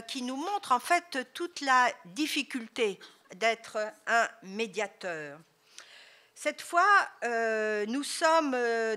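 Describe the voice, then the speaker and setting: A middle-aged woman giving an academic lecture at a conference, speaking in a measured way with many hesitation sounds and rhetorical tags. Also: fairly high pitch, bright, forceful tone. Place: lecture hall.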